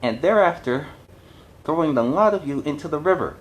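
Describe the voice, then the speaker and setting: A man's voice: fairly high pitch, animated, normal speed, voice pushed up, lightly acted, poor audio. A man voicing an indignant storybook fishmonger's wife, declaiming threats as leader of angry townsfolk. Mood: angry threatening. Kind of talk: storybook character voice.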